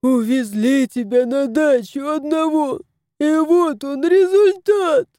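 A woman's voice: high voice, lively intonation, takes it slowly, warm tone, dry recording, studio quality, voice pushed up. A woman voicing a tearful little bearded male house spirit who wails and pleads. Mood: sobbing. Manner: wailing, pleading.

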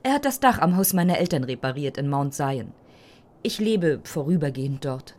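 A woman's voice: low-pitched, very animated, normal speed, darkish tone. A woman playing a cool, condescending, cosmopolitan photographer in her thirties in an audio drama. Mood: cool, superior. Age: 30s.

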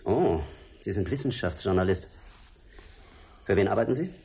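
An actor playing a stern, threatening European secret service agent in a radio drama, curt and commanding. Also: male voice, on the low side, lively intonation, moderate pace, gravelly, mellow, slight background noise. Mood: cold, pressing. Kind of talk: German radio drama acting.

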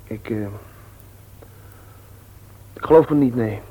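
An insolent, husky-voiced rebellious son. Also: male voice, medium pitch, slow pace, warm tone, rough recording. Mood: hesitant, sceptical. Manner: flippant, cool, hesitant when pressed. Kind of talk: radio play acting. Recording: old radio play recording.

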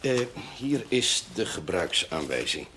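Dry friend, widely swinging pitch. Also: male voice, very animated, a little slow, pushed and bright, full character voice. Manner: dry, clipped, matter-of-fact. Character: dry, fussy but fond male friend of a chaplain. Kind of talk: naturalistic 1970s television drama acting.

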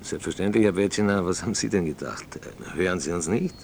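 A man's voice: low-pitched, lively intonation, fast talker, creaky, noisy background. A male actor playing a flippant, irreverent late-night radio host, loose and prone to interrupting. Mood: teasing, businesslike. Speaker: radio talk show host. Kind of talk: radio drama acting as a casual late-night phone-in host.

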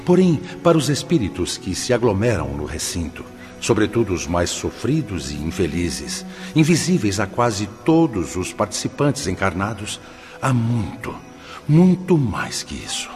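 Deep, reverberant wise spirit mentor. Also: male voice, big pitch swings. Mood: solemn, instructive. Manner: solemn, didactic, measured explaining. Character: benevolent, wise male spirit mentor, solemn and patient. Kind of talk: radio drama acting. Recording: long cavernous reverb, loud music underneath. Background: music.

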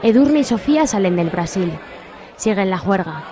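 A woman's voice: mid-range voice, lively intonation, moderate pace, a crowd in the background, noisy background. A woman delivering scripted narration as the narrator of a comic radio soap.

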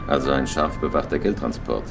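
Terse professional robber, extremely deep voice, wary, laconic, crime radio play. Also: male voice, a little fast, gravelly, over music. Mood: wary, skeptical. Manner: laconic, skeptical questioning. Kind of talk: crime radio drama acting.

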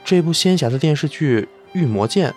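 A man's voice: a little high, animated, moderate pace, a little mellow, faint music behind, natural voice. An actor playing an eager, deferential young male film star in a Chinese audio drama. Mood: earnest, keen.

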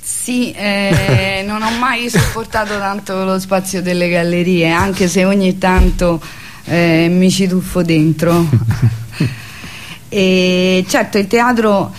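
A woman's voice: on the low side, slow pace, faint music behind. A woman, an artist guest chatting informally and explaining things in a loose, hesitant way. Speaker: visual artist, radio guest. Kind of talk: casual chat.

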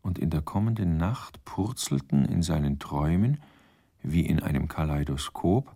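Male narrator, mellow, dry close sound, measured, wry, literary radio storytelling. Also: extremely low pitch, expressive, slightly rough, dry recording. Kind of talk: scripted radio drama narration.

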